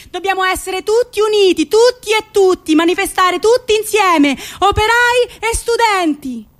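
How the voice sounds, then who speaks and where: Woman playing a very high-pitched, fiery young female activist. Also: a bit bright. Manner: declamatory, rallying, slogan chanting.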